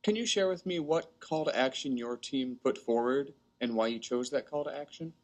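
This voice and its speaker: A man's voice: medium pitch, moderate pace, lo-fi sound. A man conducting a structured mock interview as instructor, polite and formal.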